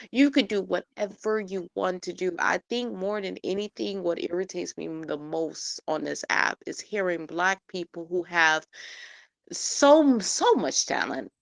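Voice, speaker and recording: A woman's voice: on the low side, vocal fry, a bit bright, no room sound. A woman speaking up in a live group discussion, assertive and insisting on finishing her point. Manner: assertive, insists on not being interrupted. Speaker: participant.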